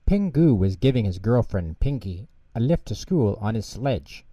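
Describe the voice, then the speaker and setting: A man narrating children's bedtime stories in an expressive storytelling style. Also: fairly low pitch, normal speed, loud music behind the voice. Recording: dull, lo-fi close recording.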